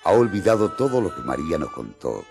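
A man's voice: low-pitched, big pitch swings, brisk, vocal fry, a little mellow, soft background music, full character voice. An actor playing a disciple who narrates his memories in a radio drama. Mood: solemn recollection. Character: male disciple recalling old days as narrator.

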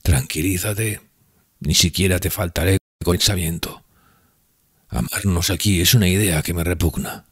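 A man voicing a guilt-ridden young man in love in an audiobook, speaking slowly and heavily. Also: sits low, slightly rough, dry recording, clean studio sound, voice pushed up. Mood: soothing.

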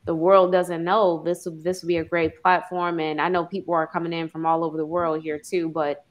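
A woman's voice: lowish voice, flat delivery, a little fast. A woman chatting on a video call panel, friendly with plenty of fillers. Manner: warm, chatty, many fillers. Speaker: panel guest.

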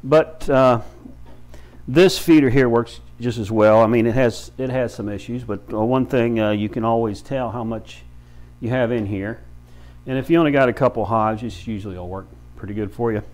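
A man in his fifties with a medium-pitched voice explains things step by step. His delivery is informal and folksy, with halting phrases, like a hands-on instructor showing beginners the basics. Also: darkish tone. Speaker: beekeeper and bee farm shop owner, instructor. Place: small brick-walled room with many windows, indoors.

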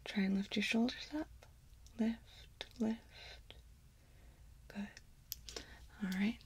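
Breathy, whispering woman in ASMR role play. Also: medium pitch, fairly even intonation. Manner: slow, gentle instructions. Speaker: ASMR performer playing an examiner. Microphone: close ASMR microphone.

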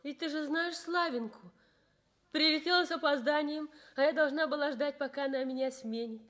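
A woman's voice: high-pitched, moderate pace, a bit bright. An actress playing a devoted, anxious young nurse caring for her sick husband in a Soviet radio drama. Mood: hurt, pleading.

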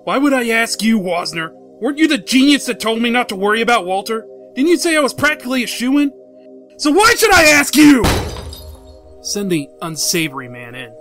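A man playing a bullying, foul-mouthed male boss in a comic book drama. His delivery blusters and swings widely in pitch. Music is clearly audible behind him. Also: sing-song, moderate pace, fairly bright tone, full character voice. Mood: scornful.

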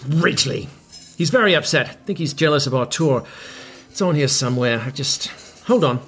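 A man's voice: mid-range voice, animated, normal speed, fairly bright tone, breathy edge, soft background music. An actor in a comedy podcast playing a washed-up middle-aged rock star, boastful and needy, stammering as he rambles matily. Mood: defensive, boastful. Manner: stammering, rambling, faux-matey.

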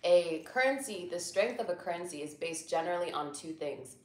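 A woman's voice: on the low side, lively intonation, fairly quick, echoey room, rough recording. A young American woman, a guest and venture capital investor, explaining things patiently and simply in a casual chat in a living room.

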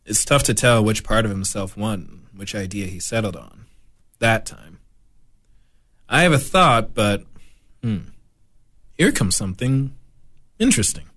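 Man acting a husky, musing horror host. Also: fairly low pitch, lively intonation, a bit bright. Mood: musing, intrigued. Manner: ruminative, halting. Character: musing, mysterious male horror show host. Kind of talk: horror radio anthology hosting.

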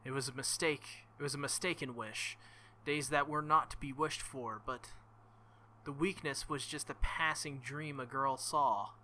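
A man reading aloud as a stern, earnest young woman knight-king, in formal, measured speech without contractions. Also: mid-range voice, fairly even intonation, bright, forceful tone, slight background noise. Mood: solemn. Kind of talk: reading visual novel dialogue aloud.

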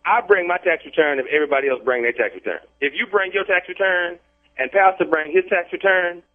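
A man's voice: high-pitched, a little fast. A man in an unscripted phone conversation, protesting and repeating himself over a thin phone line. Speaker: prank call target. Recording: tinny, band-limited phone line.

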